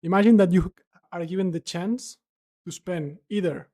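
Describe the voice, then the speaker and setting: A man's voice: sits high, moderate pace, mellow. A man co-hosting a podcast, putting casual questions to a guest. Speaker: podcast co-host.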